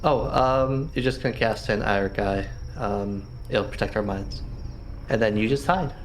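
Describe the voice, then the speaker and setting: A man's voice: fairly low pitch, moderate pace, a little hiss or hum. A man playing a tabletop roleplaying game, chatting casually and thinking aloud as he plans, somewhat hesitantly. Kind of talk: casual in-game planning chat.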